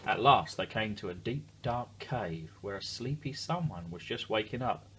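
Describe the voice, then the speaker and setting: A man's voice: on the low side, lively intonation, normal speed, noisy background. A man reading a picture book aloud to children expressively, adding simple chatty asides and questions for the young listeners. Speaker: children's story reader.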